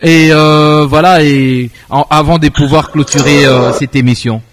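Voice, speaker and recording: A man's voice: on the high side, steady tone, a little slow. A man with a Congolese French accent hosting a live radio show, repeating himself as he brings in guests and questions. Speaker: radio host.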